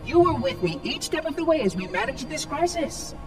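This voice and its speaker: A man's voice: extremely high pitch, big pitch swings, fairly quick, distant mic, music in the background. A man voicing a haughty, fussy machine caretaker in a video game, speaking in formal, wordy, pompous phrases. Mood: insistent, menacing. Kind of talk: cinematic video game acting.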